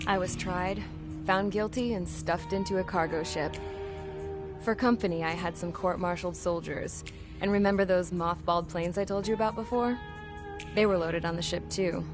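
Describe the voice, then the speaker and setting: Casting: woman playing jaded young female pilot, lowish voice, blunt wry war narration for a game, loud music underneath. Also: fairly even intonation, normal speed, lightly acted. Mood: wry, deadpan. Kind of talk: scripted first-person game narration.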